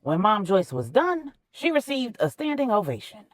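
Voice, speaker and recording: A woman narrating fiction in an expressive, emphatic storytelling style with conversational, colloquial phrasing. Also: medium pitch, moderate pace, over music.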